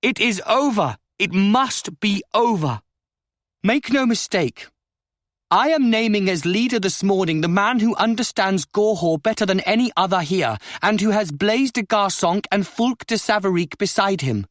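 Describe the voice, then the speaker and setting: Man doing a countess, imperious, cold, brittle, raised pitch, dry close sound. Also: expressive, moderate pace, a bit bright, dry recording. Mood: commanding. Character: imperious commanding noblewoman, a countess.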